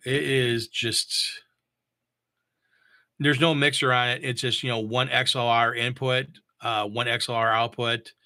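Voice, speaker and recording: A man giving casual, unscripted product talk as a gear reviewer, halting and repeating himself as he thinks aloud. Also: medium pitch, level tone, bright, forceful tone. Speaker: mobile DJ reviewing audio gear.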